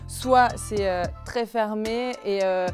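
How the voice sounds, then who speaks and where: A woman's voice: medium pitch, slow pace. A young woman from the Paris region, a TV news journalist, explaining earnestly with many fillers in a casual interview. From Paris region, France. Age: young adult. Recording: music clearly underneath.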